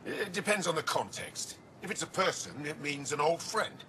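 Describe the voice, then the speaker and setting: An actor voicing a hospitable alien bar keeper in a video game, helpful and matter-of-fact. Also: male voice, fairly high pitch, lively intonation, moderate pace, sharp tone, slight background noise. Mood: welcoming. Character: hospitable male alien bar keeper, proud of his food.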